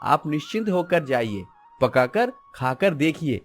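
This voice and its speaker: High-voiced, polite cartoon vegetable seller played by a man. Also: very animated, measured pace, soft background music. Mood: gentle, reassuring. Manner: polite, reassuring. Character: honest, gentle young male vegetable seller. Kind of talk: cartoon story acting.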